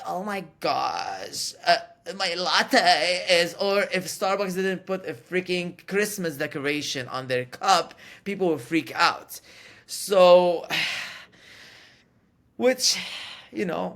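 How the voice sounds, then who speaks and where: A man with a fairly high voice, talking as an interview guest. He is candid and emphatic, often repeating a phrase to drive it home. He sounds middle-aged. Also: a bit bright. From Lebanon.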